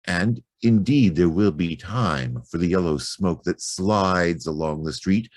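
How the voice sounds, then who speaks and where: A man reciting poetry in a theatrical, deliberate manner with long pauses between phrases. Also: deep voice, dry recording. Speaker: poetry reader.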